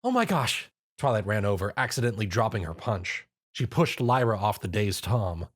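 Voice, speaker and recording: A man's voice: lowish voice, normal speed, no room sound, clean studio sound. A man reading scripted story narration.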